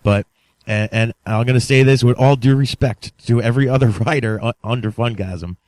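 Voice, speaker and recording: A man's voice: medium pitch, moderate pace, darkish tone, no room sound. A man hosting a podcast in casual, enthusiastic conversation, repeating himself for emphasis. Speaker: podcast host. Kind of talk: casual interview talk.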